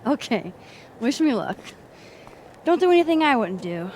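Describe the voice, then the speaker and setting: Playful, high-voiced, expressive young woman. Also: normal speed, slight background noise, poor audio. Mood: playful, eager. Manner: casual, teasing, expressive. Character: playful, wry young woman, bubbly film buff. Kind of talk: naturalistic video game acting.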